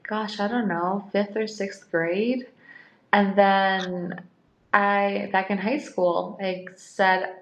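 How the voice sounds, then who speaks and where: A young woman whose first language is Polish talks in a loose, chatty way, circling back with likes and restarts. Her voice sits at a middle pitch. The room around her rings with echo. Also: fairly even intonation, takes it slowly. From United States. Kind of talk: casual podcast conversation. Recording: roomy echo.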